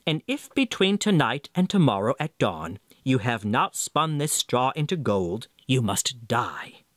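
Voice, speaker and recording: A man's voice: mid-range voice, very animated, a little slow, a bit bright, dry recording, clean studio sound. A man voicing a greedy, commanding king in a fairy tale, with an imperious, stern manner.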